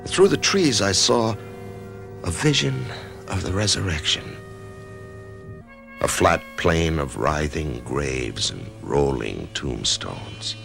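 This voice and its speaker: A man's voice: a bass voice, animated, slow, vocal fry, fairly bright tone, soft background music, full character voice. An actor playing a troubled middle-aged office man who narrates his own story in a 1990s radio drama, hesitant and brooding. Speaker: narrator-protagonist.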